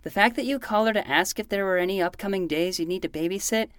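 A woman's voice: medium pitch, fast, a bit bright, smooth, no room sound, studio quality, close to an everyday voice. A woman voicing an earnest, precocious twelve-year-old boy in a fiction podcast, with a matter-of-fact manner.

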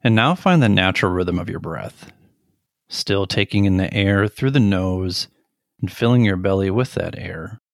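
A middle-aged man leading a guided meditation in a gentle, deliberate manner with long pauses. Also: sits low, gravelly, dry recording, clean studio sound.

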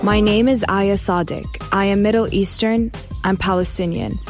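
A woman's voice: medium pitch, steady tone, moderate pace, over music. Woman reading a personal reflection. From Dubai. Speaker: contributor.

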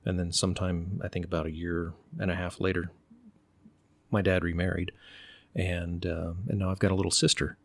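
A middle-aged man from the United States, telling stories in an unhurried, thoughtful way and pausing to find his words. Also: sits low, little pitch movement, creaky, no room sound. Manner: unhurried, thoughtful, often pauses to search for words. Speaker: interview guest. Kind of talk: relaxed conversational storytelling.

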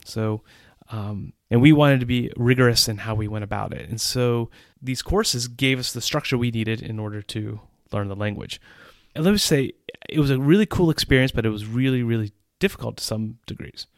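A man's voice: medium pitch, moderate pace, dry recording. Easygoing middle-aged man, dry close mic. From English-speaking country. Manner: easygoing, self-deprecating, chuckles often. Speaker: podcast host. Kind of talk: personal storytelling monologue.

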